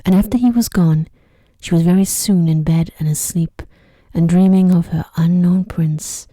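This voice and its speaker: Softly whispering woman reading a sleep story. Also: lowish voice, no room sound, studio quality. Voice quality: soft, breathy, whispery. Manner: slow, gentle, soothing delivery. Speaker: narrator. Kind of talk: ASMR sleep story narration.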